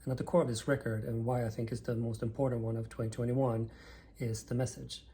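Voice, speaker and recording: Man in his thirties, record-show host, warm, mellow tone, scripted and enthusiastic. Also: medium pitch, little pitch movement, slight background noise. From northern Sweden. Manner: enthusiastic, measured storytelling. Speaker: vinyl record channel host. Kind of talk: scripted narration.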